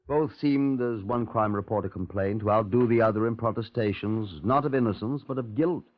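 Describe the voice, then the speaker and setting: An actor as a sober narrator of police cases, speaking in a measured, grave and formal 1950s radio style. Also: male voice, mid-range voice, lightly acted. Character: sober male narrator of police case files. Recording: muffled old radio broadcast recording.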